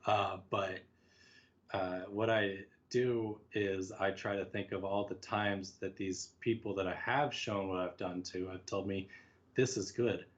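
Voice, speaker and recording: Dull, lo-fi call audio carries a man with a fairly low voice. He talks as a podcast guest in a casual, reflective way, putting himself down a little and voicing imagined remarks as he explains. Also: steady tone, unhurried. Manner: reflective, self-deprecating, talks in quoted asides. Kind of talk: casual conversational interview.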